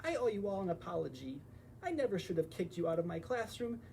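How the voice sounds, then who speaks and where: A man's voice: sits high, very animated, quick pace, vocal fry, darkish tone, a little hiss or hum, heavily acted. A man voicing a self-important, stubborn hedgehog teacher making earnest declarations in a picture-book read-aloud.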